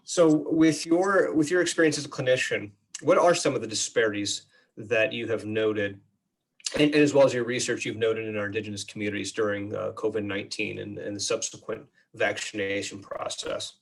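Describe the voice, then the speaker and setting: A man's voice: fairly low pitch, fairly quick, vocal fry. A man who is a researcher, hosting a webinar and introducing a guest in a casual, somewhat hesitant way.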